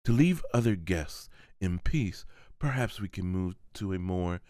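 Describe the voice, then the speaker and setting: A man's voice: low-pitched, animated, measured pace, dark tone, dry recording, studio quality. A man voicing a composed, deferential seneschal in an amateur manga voice-over, polite and careful in his formal speech. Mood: composed deference. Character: deferential, composed male seneschal, dutiful and discreet.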